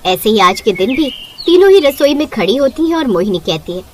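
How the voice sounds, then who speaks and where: A woman narrates a story from a script. Her voice sits fairly high, and she reads as a storyteller. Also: very animated, a little fast, a little hiss or hum.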